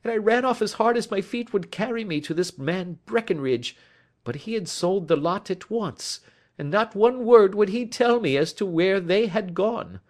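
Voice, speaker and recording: A man's voice: high voice, animated, normal speed, a little mellow. A man reading aloud as a frightened, desperate young male thief confessing his story in an agitated, pleading way. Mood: despairing. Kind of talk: audiobook reading.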